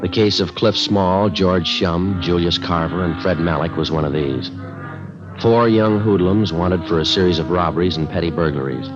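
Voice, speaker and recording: An actor playing a terse, no-nonsense police detective in a 1950s radio drama, speaking in a clipped, deadpan way. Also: male voice, deep voice, normal speed, close to an everyday voice. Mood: deadpan, grave. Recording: dull vintage radio recording with music.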